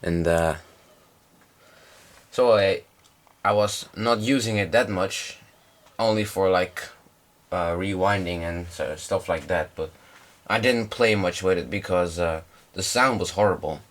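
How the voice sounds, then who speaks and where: A man's voice: deep voice, a little slow, pauses often. A young man with a slight cold gives a casual, informal demonstration, explaining things in a hesitant way. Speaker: hobbyist presenter.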